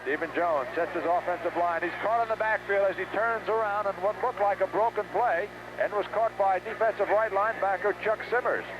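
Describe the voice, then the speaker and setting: Male sportscaster, high-pitched, excitable live play-by-play, muffled 1970s radio sound. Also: a little fast, background noise. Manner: excitable, urgent calls on big plays. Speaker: play-by-play football announcer. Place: outdoor stadium, game broadcast.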